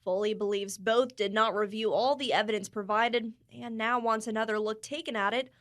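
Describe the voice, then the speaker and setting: Higher-pitched woman reporting the news. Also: fairly even intonation, moderate pace, fairly bright tone. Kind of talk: scripted news report narration.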